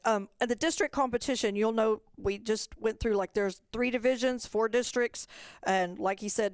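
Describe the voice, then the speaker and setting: Clear-voiced woman giving procedural instructions. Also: mid-range voice, a little mellow, dry recording. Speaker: competition organizer presenting. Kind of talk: informal instructional webinar presentation.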